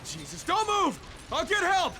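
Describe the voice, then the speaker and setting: An actor playing a sardonic tough survivor, a newcomer proving himself, in a video game, with a wry, informal way of talking. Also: male voice, very high-pitched, wildly swooping pitch, a little slow, heavily acted. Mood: alarmed.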